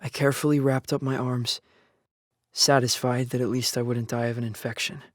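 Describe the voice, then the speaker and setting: A man's voice: mid-range voice, little pitch movement, a little fast, dry recording, studio quality, lightly acted. An actor playing a young male survivor of an apocalypse in a first-person audio drama, earnest, shaken and grieving for his father. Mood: somber, reflective. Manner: somber, earnest storytelling with anguished outbursts. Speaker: lead actor and narrator. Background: music.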